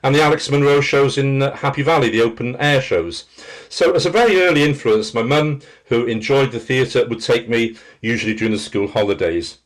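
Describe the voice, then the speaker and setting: A man's voice: medium pitch, fairly quick. A middle-aged man with a rich voice, reminiscing as a guest in an interview. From Wales. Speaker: interview guest, theatre practitioner and teacher.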